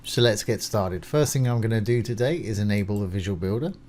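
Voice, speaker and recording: A man's voice: lowish voice, a little fast, darkish tone. A man presenting a casual step-by-step screencast tutorial, informal and reassuring as he explains each step while doing it. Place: voice-over of a screen recording.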